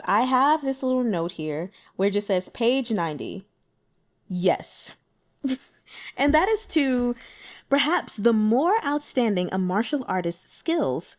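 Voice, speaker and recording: A woman talking casually through her notes on a book, in a chatty, giggly and animated manner. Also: a little high. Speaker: audiobook reader and book commentator.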